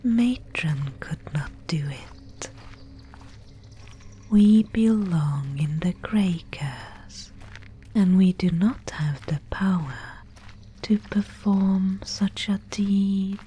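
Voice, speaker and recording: A woman playing a mysterious, solemn guide of a gloomy fantasy realm in an ASMR roleplay, whispering breathily and close to the microphone with deliberate pauses. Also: mid-range voice, a little hiss or hum. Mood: hushed, solemn. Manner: slow, hushed, solemn storytelling with deliberate pauses.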